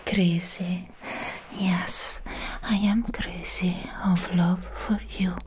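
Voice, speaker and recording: Woman playing a slow, whispering possessive vampire ex-girlfriend. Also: fairly low pitch, little pitch movement, darkish tone, a little hiss or hum. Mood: possessive, coaxing. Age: young adult. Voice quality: breathy whisper. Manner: slow, drawn-out, coaxing. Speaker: ASMR roleplayer. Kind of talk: soft-spoken ASMR roleplay. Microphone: close microphone.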